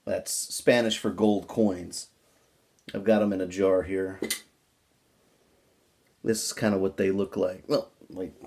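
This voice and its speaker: A man with a folksy, informal manner, chatting casually and reading aloud from a book in an unhurried way. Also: on the low side, darkish tone. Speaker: pipe-smoking video creator reading a book aloud.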